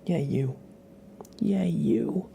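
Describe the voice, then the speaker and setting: A man speaking in a soft, gravelly whisper, chatting casually and gently as an ASMR live streamer greeting viewers. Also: fairly high pitch, extremely slow, a little hiss or hum. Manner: gentle, rambling chat with viewers and pet. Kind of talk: ASMR livestream casual chat.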